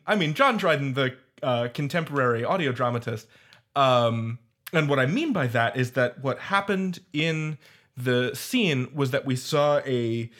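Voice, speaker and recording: A man's voice: medium pitch, a bit bright. A man speaking in an academic panel discussion, articulate and wordy with scholarly phrasing. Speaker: roundtable panelist, audio fiction creator.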